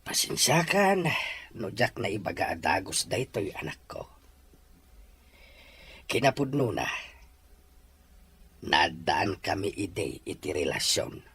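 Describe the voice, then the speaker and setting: A man's voice: fairly low pitch, big pitch swings, a little slow, pauses often, fairly bright tone, breathy edge, exaggerated voice. A man playing a heartbroken, poor middle-aged father in an Ilocano audio drama, pleading and regretful. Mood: sorrowful. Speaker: voice actor in a radio-style drama. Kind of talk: Ilocano radio drama acting.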